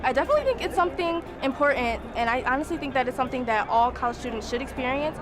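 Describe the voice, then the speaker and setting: High-voiced earnest young woman. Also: animated, racing. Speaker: student in a study abroad program. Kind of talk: earnest testimonial. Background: music.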